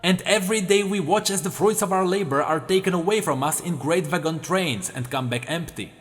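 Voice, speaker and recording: A man's voice: sits high, fairly quick, in a large hall, soft background music, close to an everyday voice. A man voicing a haughty young nobleman. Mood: impassioned. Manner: refined, emphatic noble speech.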